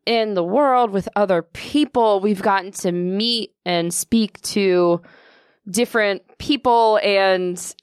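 Low-voiced woman podcast co-host. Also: takes it slowly, smooth, no echo, studio quality. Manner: low, sultry-sounding voice, relaxed and sincere. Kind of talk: casual chat. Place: podcast studio.

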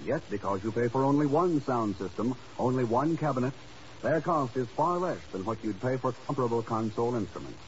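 A man's voice: mid-range voice, expressive, a little fast, a little mellow, a little hiss or hum. A man announcing from a script in the style of 1950s American network radio. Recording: dull 1950s radio recording. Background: music.

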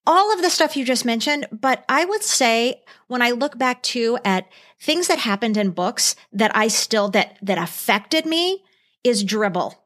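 A woman's voice: a little high, lively intonation, unhurried, a bit bright. A woman in her fifties chatting casually as a podcast co-host. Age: 50s. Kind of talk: casual chat.

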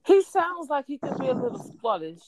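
Animated joking woman co-host. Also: sits high, fairly quick, noisy background. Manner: animated, joking, laughs often. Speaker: podcast co-host. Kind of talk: casual chat.

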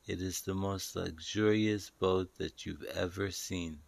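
Man, low-pitched voice, unhurried guided meditation narration, deliberate pauses, dry close sound. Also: fairly even intonation, vocal fry, dry recording. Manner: deliberate, short pausing phrases, instructing the listener. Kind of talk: guided meditation, slow storytelling.

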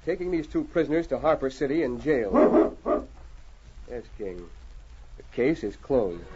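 An actor playing a steady, calm and authoritative Mountie sergeant in a 1940s radio drama. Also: male voice, medium pitch, a little slow. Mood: calm, kindly. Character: steady, commanding Mountie sergeant, a heroic lawman. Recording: noisy, dull old radio transcription.

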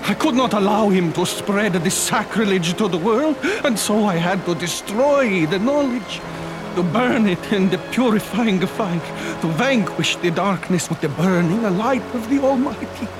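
An actor playing a tortured old priest in a radio drama, grave and solemn, fervent and burdened with guilt. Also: male voice, high voice, animated, a little slow, background noise. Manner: grave, solemn, intoning prayers.

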